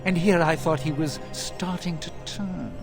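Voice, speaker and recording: An actor playing a smug, power-mad, demon-possessed mage villain in a video game, speaking with theatrical, condescending emphasis. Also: male voice, fairly high pitch, fairly even intonation, a little slow, creaky, music in the background. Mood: gloating, mocking. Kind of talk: dramatic video game acting.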